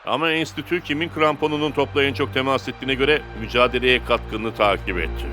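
Guy doing stern cartoon football coach, firm, slightly low voice, music behind. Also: steady tone, a little fast, pushed and bright, lightly acted. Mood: confiding. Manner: firm, admonishing. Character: stern, measured adult male football coach. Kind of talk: animated cartoon dub acting.

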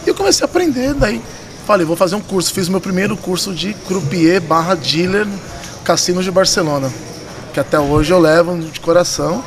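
A man's voice: high-pitched, fairly quick, a little hiss or hum. A man in his thirties with a São Paulo Brazilian accent, telling stories casually and animatedly in a podcast interview, slangy and repeating words for emphasis. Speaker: podcast guest, poker dealer and tournament director. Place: poker club room, indoors. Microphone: vintage-style desk microphone. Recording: busy room crowd murmur behind.

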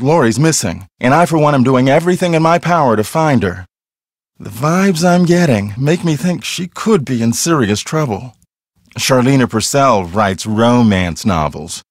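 A self-assured male ghost hunter from a mystery game. His mid-pitched voice moves around in lively swings as he explains things earnestly and matter-of-factly. The sound is dry and close, with no room on it. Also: no echo. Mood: wry, measured. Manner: matter-of-fact, explanatory, conversational. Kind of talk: acted video game dialogue.

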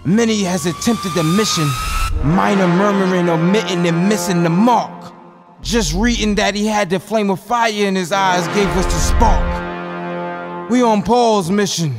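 Male promo narrator, raised pitch, unhurried dramatic poetic delivery, heavy music bed. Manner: rhythmic, dramatic phrasing. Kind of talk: spoken-word promotional narration. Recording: loud music bed under voice. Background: loud music.

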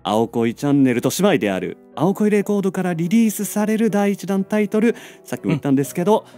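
Polite guy co-host chatting, really animated, agreeing along, light music behind. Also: sits high, fast. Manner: polite, chiming in with agreeable reactions. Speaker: co-host of a voice actors' channel. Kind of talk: casual chat with a guest.

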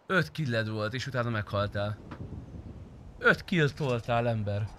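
Bright-voiced young man streaming a game. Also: medium pitch, slight background noise. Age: young adult. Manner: blunt, profane, slangy. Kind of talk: casual livestream chat while gaming. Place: indoor streaming room.

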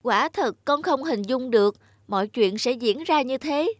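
A woman voicing a curious, naive young sprite child, earnest and respectful, in an animated fable. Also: high voice, unhurried, no room sound, studio quality. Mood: humbled.

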